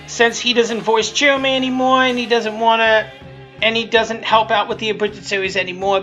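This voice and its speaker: A woman's voice: a little high, sharp tone. A woman giving a casual, unscripted commentary in a rambling, blunt way, often restarting and repeating her phrases. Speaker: creator of a fan abridged series.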